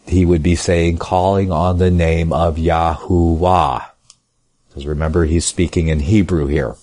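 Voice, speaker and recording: A man giving a sermon, reading scripture aloud slowly and with emphasis, then explaining it in casual asides. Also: low-pitched, darkish tone. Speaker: preacher.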